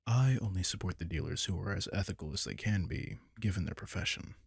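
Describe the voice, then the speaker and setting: A man playing a caring, wry vampire king in an intimate comfort roleplay audio drama, talking casually and softly with dry teasing. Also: deep voice, a little fast, gravelly, husky, no echo, heavily acted. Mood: matter-of-fact, informative.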